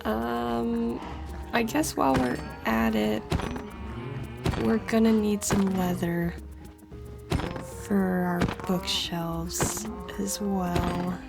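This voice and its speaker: A woman hosting a relaxed let's play, her voice stuffy and congested from a cold. She talks in an unhurried, chatty way, laughing softly between thoughts, with faint music underneath. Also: mid-range voice, creaky. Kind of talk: casual gameplay commentary.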